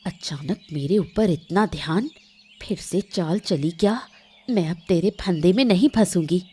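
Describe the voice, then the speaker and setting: A woman's voice: on the low side, measured pace, mellow, husky. A woman voicing a stern, reproachful woman of the household in a cartoon moral story, scolding firmly.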